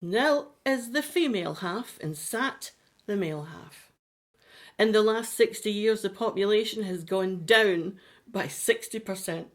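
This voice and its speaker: Gentle, mid-pitched woman settler, played by an actress. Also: lively intonation, a little slow, natural voice. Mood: explaining. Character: gentle, idealistic woman settler living in harmony with nature. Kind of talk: audio drama acting.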